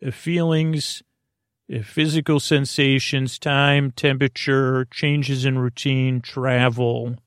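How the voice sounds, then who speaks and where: A man with a gravelly, fry-laden voice hosts a bedtime podcast, recorded close and dry. He wanders from tangent to tangent in a silly, goofy way, often stopping and restarting his sentences. His pitch sits in an ordinary male middle range. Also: little pitch movement, takes it slowly, no room sound. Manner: meandering, tangent-prone, hesitant delivery with trailing restarts. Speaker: podcast host. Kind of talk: rambling bedtime story podcast monologue.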